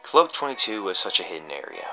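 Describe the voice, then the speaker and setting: A low-voiced man performs a creepy story as if confessing it to listeners. His delivery is slow and halting, with stumbles and stretched pauses, and his tone is very sharp and bright. Faint music plays behind him. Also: very animated. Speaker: amateur dramatic reader. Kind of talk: dramatic reading of a horror story in a confessional vlog style.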